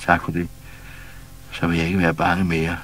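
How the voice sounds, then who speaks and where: A man voicing a bold, cheeky young troll boy who gives orders loudly in a storybook reading. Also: low voice, completely flat delivery, rough recording.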